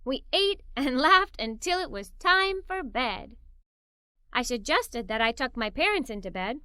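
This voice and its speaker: A woman reading a children's story aloud in the first person, with animated, expressive delivery. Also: high voice, measured pace, clean tone, dry recording, studio quality. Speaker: storybook narrator.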